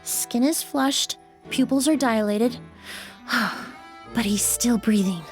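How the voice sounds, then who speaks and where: An actress voicing a composed, courteous young female investigator in a video game, speaking politely and precisely with a measured, clinical manner. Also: fairly high pitch. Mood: focused concern. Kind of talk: English video game voice acting. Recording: game music behind.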